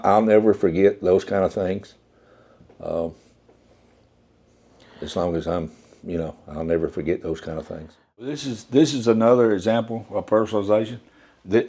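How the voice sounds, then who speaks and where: An older man who works as an undertaker, from Appalachia, speaking in a soft, halting way with many restarts in a reflective interview. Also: low-pitched.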